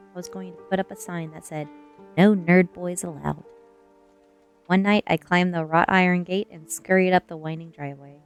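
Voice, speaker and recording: Low-voiced woman reading a story over music. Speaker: streamer reading aloud. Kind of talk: ASMR reading of a young adult novel, storytelling narration.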